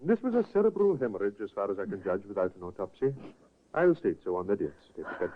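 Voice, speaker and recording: An actor in a 1950s radio drama playing a calm, businesslike doctor. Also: male voice, on the low side, fast. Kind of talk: 1940s radio drama acting. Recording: tinny old radio broadcast.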